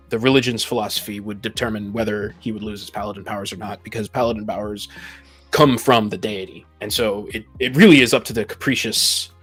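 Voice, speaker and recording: A man's voice: lowish voice, a bit bright, breathy edge. Opinionated man in a casual debate. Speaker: podcast speaker on tabletop gaming.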